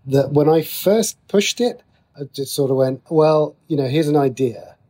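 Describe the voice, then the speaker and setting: A man's voice: a little high, normal speed, mellow. A man chatting as an interview guest, thinking aloud and often rephrasing as he goes. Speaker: interview guest, TV and radio writer. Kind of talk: casual interview conversation.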